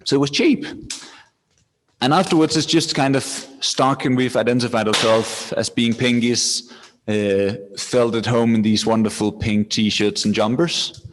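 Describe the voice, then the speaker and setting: A man's voice: mid-range voice, steady tone, a little slow. A man with a Danish accent hosting an informal webinar, presenting to an online audience. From Denmark. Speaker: webinar host, marketing manager. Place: indoors at a scout centre. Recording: echoey room, clear background noise.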